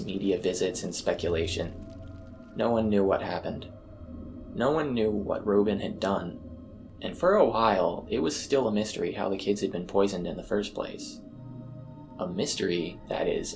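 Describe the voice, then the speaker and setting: Low, gravelly male horror narrator. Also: a little mellow. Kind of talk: scripted first-person horror narration. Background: eerie ambient music.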